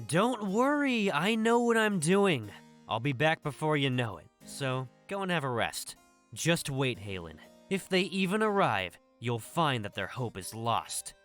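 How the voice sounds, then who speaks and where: A man's voice: fairly high pitch, big pitch swings, measured pace, fairly bright tone, pitched above the performer's own voice. A man voicing a confident, vengeful young apprentice warrior in a visual novel. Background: game music.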